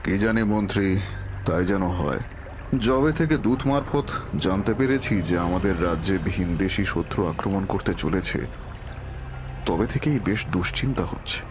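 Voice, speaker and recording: A man voicing a kind, protective king in a cartoon, speaking in a measured, reassuring, regal manner. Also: low-pitched, music in the background, close to an everyday voice. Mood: worried, wondering. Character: kind protective king, worried for his subjects. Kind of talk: dramatic Bengali cartoon dubbing.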